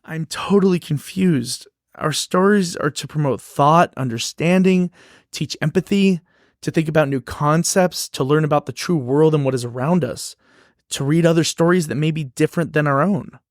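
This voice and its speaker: A woman's voice: deep voice, moderate pace, darkish tone, no echo, studio quality, close to an everyday voice. A woman playing a naive, bewildered children's storybook in a puppet show, innocent, questioning and incredulous in her delivery. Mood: earnest, confused. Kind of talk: theatrical puppet show dialogue.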